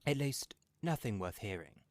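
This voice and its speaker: A grave middle-aged soldier from a storybook, voiced by a male narrator. He speaks in a lowish register with a reticent, serious manner. The sound is dry. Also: expressive, normal speed, dry recording, close to an everyday voice. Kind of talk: storybook character voice.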